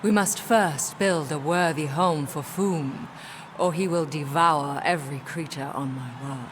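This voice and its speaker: A woman's voice: low voice, measured pace, a little hiss or hum. A woman playing a fierce, haughty alien warrior queen in a video game, commanding and theatrical. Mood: solemn. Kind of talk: video game cutscene acting.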